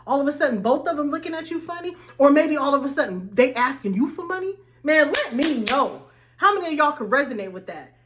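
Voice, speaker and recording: A woman life coach, slightly hoarse, talking animatedly to her viewers in a casual faith-based vlog. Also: sits high. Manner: animated, addresses viewers as y'all.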